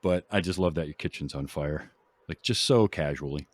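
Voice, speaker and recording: A man's voice: rumbling low, moderate pace, no room sound. A man hosting a podcast, chatting casually about film in a rambling, enthusiastic way. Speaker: podcast host.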